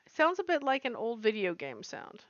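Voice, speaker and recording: A high-pitched American woman bantering casually as a livestream co-host. She talks in a playfully dramatic way, and the audio is slightly dull and band-limited. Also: huge pitch swings, fairly quick, fairly bright tone, dry recording. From US West Coast.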